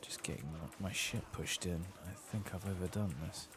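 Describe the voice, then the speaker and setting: British male, extremely low and gravelly, soft-spoken murmur for ASMR gaming commentary, audible background noise. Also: steady tone, normal speed. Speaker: host of gaming playthrough.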